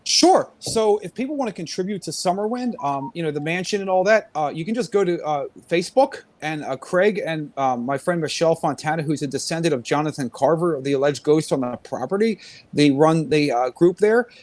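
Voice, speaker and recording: A man's voice: on the high side, animated, fairly quick, darkish tone. A man who is a guest paranormal investigator, chatting casually and rambling with lots of fillers. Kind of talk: casual chat.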